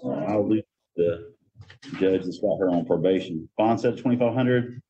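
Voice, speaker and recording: A middle-aged man speaking as a judge in a brisk, procedural hearing over a court video link. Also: low voice, highs cut off, noisy background.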